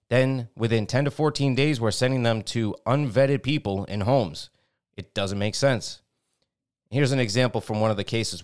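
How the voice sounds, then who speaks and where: A man co-hosting a podcast, reading a news article aloud haltingly, stumbling and restarting words, then adding indignant commentary. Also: on the low side. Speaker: podcast co-host.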